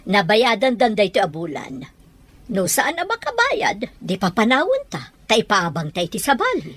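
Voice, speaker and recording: A woman's voice: mid-range voice, huge pitch swings, normal speed, fairly bright tone, no room sound. A woman playing a firm, practical mother and landlady in an audio drama, matter-of-fact in manner. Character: practical, firm mother who rents out rooms.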